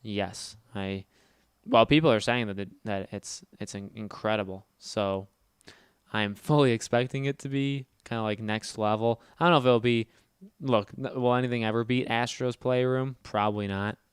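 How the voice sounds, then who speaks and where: Nasal, fast-talking man streaming. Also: lowish voice, fairly even intonation, clean tone, no room sound, clean studio sound. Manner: fast, loud talker; chatty and rambling, reads and answers chat. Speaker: livestream host. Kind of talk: casual livestream chat while gaming.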